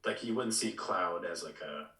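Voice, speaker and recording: A low, bright-toned male voice in casual podcast conversation. He speaks haltingly, doubling back on words as he pitches ideas. The sound is roomy and set back from the microphone. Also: far from the mic. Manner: halting, repeats words as he thinks. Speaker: podcast co-host. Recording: roomy, echoey, away from the mic.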